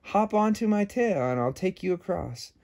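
A man's voice: high voice, lively intonation, normal speed, creaky, a little mellow, voice pushed up. A man voicing a sly storybook coyote, scheming and coaxing with false helpfulness.

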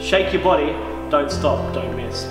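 Higher-voiced young man, very echoey. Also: a little slow. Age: young adult. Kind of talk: casual banter among friends. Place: large living room indoors. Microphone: camera a few steps away. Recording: very echoey room, loud music.

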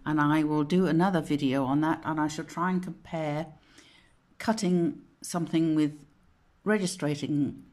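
A woman hosting a casual craft software tutorial at home, chatty and rambling, often correcting herself, with a slightly croaky voice. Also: deep voice. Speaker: craft channel host. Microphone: phone camera pointed at a monitor.

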